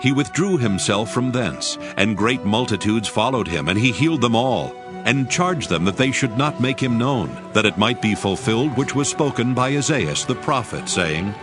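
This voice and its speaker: A man narrating a dramatized Bible reading with steady, reverent storytelling. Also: mid-range voice, normal speed. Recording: studio narration with music beneath.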